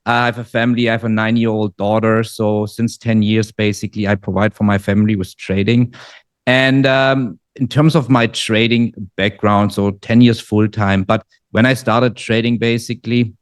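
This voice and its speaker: A man in his forties from Germany with a German accent, talking in a relaxed interview with many fillers and restarts. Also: fairly low pitch, moderate pace, clear, resonant tone, no echo. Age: 40s. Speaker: guest trader. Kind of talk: casual interview. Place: living in Dubai.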